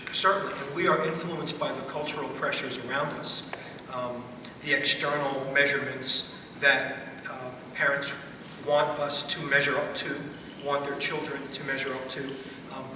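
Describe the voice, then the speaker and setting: A middle-aged man speaking as a school principal on a panel, in measured, deliberate phrases. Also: medium pitch, level tone. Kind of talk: panel discussion. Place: school theater stage at a panel table. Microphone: distant from the speaker. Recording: echoey hall, distant mic, background noise.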